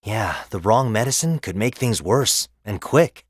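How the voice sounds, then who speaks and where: An actor playing a kindhearted, easygoing young village apothecary in a video game, talking in a folksy, casual way. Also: male voice, lowish voice, animated, a little slow, breathy edge, no room sound, studio quality. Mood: concerned. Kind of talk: video game cutscene acting. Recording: background music under the voice.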